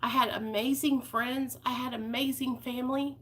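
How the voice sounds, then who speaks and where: A woman's voice: high-pitched, fairly even intonation, normal speed, a bit bright. A woman, talking candidly and heartfelt to her viewers in a personal vlog. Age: 30s. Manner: candid, heartfelt, rambling with fillers. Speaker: vlogger.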